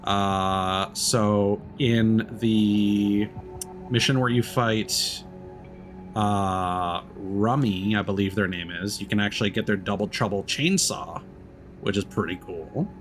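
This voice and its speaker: A man's voice: fairly low pitch, slow pace. A man giving casual video game commentary as a streamer, talking in a reflective, meandering and hesitant way. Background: background music.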